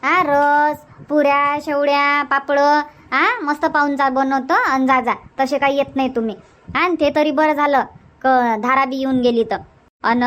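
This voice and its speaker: Cartoon village granny played by a woman: very high voice, Varhadi Marathi accent, hospitable, bossy, chatty and repetitive. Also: fairly bright tone. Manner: chatty, insistent, repeats herself. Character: hospitable, bossy village grandmother in a family comedy. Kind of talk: cartoon comedy dubbing.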